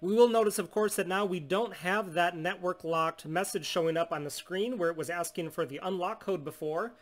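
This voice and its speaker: A man in his thirties from the United States, a steady, salesy business owner explaining a tablet unlock to camera in a small room. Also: sits high, moderate pace. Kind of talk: scripted product demonstration. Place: small indoor room at home.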